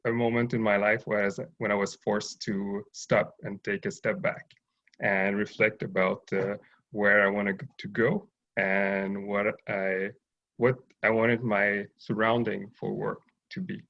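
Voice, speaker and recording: Man, gravelly fry, measured and hesitant, muffled call audio. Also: on the low side, flat delivery, a bit bright. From Haiti, raised in Montreal. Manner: thoughtful, hesitant with many fillers. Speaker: guest, urban farm owner. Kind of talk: interview over video call.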